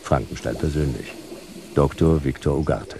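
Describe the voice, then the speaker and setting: Very deep, gravelly noir detective. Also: male voice, normal speed, noisy background. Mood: wry, observant. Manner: laconic, clipped, sardonic. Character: hard-boiled sci-fi private detective narrating, dry, wry. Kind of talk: noir first-person radio play narration.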